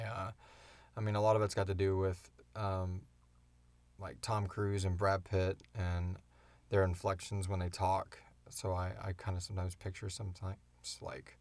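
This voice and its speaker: A man's voice: deep voice, no room sound. A man chatting casually as a podcast co-host, rambling along with enthusiasm as he answers questions. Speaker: creator and co-host of a fiction podcast. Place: small enclosed recording booth.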